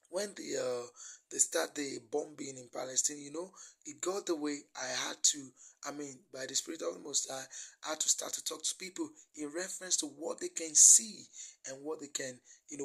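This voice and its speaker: A man's voice: fairly high pitch, creaky, pushed and bright, slightly rough, rough recording. A middle-aged Nigerian-accented man, a native Yoruba speaker, preaching informally off the cuff in a rambling, repetitive manner. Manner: rambling, repetitive, often saying "you know". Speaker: lay preacher livestreaming to followers.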